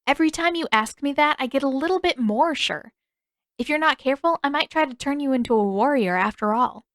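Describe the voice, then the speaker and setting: Woman doing a feisty teen girl in an audiobook, defensive n wry, kinda high voice, stubborn, emphatic. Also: expressive, fairly quick, a bit bright, no echo, studio quality.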